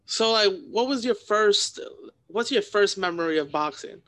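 A man's voice: high-pitched, animated, normal speed, bright, forceful tone. A young man hosting a casual interview, informal and agreeable, often affirming what his guest says. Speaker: podcast host, interviewer.

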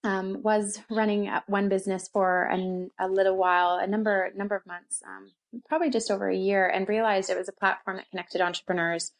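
A woman's voice: mid-range voice, fairly even intonation, brisk, few pauses. A woman from Canada with a Canadian accent, talking conversationally about herself as an interview guest. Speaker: interview guest, co-working space founder. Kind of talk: conversational personal storytelling in an interview.

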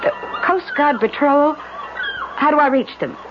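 Anxious high-voiced wife, muffled radio. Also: female voice, expressive, measured pace. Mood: anxious, flustered. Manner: hesitant, agitated, pleading. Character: anxious, worrying wife and mother. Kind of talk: 1970s radio drama acting. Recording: dull, noisy old radio recording.

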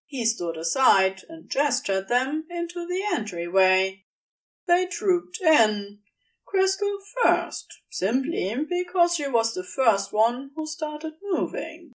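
A woman narrates fiction in a fairly high voice with very animated intonation. She reads at an unhurried pace and sounds a little distant from the mic. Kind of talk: scripted story narration.

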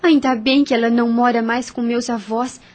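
An actress with a Brazilian accent playing a gentle, sensible woman who calms others in a radio drama. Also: fairly high pitch, normal speed, lightly acted. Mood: practical, encouraging. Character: gentle, sensible woman calming her frightened companions.

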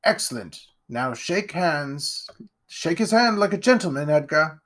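A man's voice: on the high side, animated, a little slow, a bit bright, pitched above the performer's own voice. A man voicing a pompous, condescending wealthy nobleman with a grand, theatrical manner in a storybook reading. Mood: jovial. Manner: grand, theatrical, plummy delivery.

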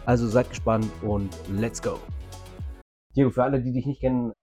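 Male podcast host, mellow and fairly low, faint music behind; relaxed casual chat. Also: normal speed. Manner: relaxed, frequent short backchannels.

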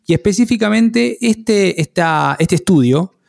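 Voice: mid-range voice, expressive, unhurried, creaky, a little mellow. A podcast host, interviewing conversationally with informal, slightly hesitant phrasing.

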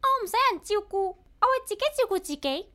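A woman playing a chatty, bossy, proud talking parrot in a radio drama, with a shrill, squawky voice and a blunt, childlike manner. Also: animated, fast talker, sharp tone, heavily acted. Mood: proud, defiant. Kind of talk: Cantonese radio drama acting.

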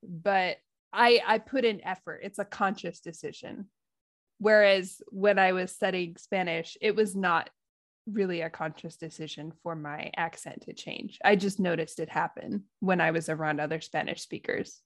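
A woman's voice: on the low side, steady tone, normal speed. Chatty American woman, laughing as she talks. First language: English. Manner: chatty, laughs often, thinks aloud. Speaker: podcast co-host. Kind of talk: casual conversational podcast.

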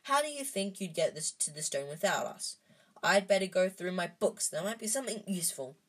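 Casting note: bright anxious schoolgirl, a woman reader's voice, a touch higher. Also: lively intonation, husky. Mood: brisk. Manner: wailing, then brisk. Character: anxious clever schoolgirl, eleven.

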